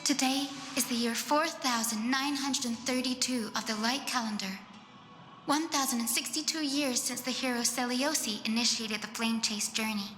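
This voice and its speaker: An actress playing a devout, resolute young priestess heroine in a video game, speaking in a ceremonial, declarative way. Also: female voice, a little high, fairly even intonation, moderate pace, fairly bright tone, close to an everyday voice. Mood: solemn, resolute. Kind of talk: scripted video game dialogue. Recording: echoey hall reverb, music underneath.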